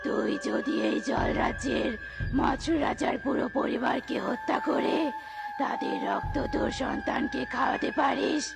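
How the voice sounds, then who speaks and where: A performer voicing a cruel, commanding witch mother in a cartoon, giving shouted pronouncements. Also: sits high, moderate pace, gravelly, over loud music. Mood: sinister.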